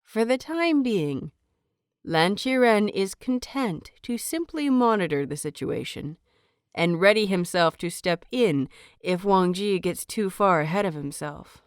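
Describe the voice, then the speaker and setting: A woman reading scripted fiction as an audiobook narrator, with measured, expressive phrasing. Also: mid-range voice, clean tone, no echo, studio quality.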